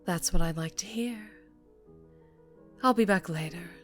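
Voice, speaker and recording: A woman playing a ruthless, teasing mafia boss in an ASMR roleplay, commanding and condescending, often dropping into breathy murmurs. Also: fairly low pitch, measured pace, a bit bright, soft background music. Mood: teasing, dominant.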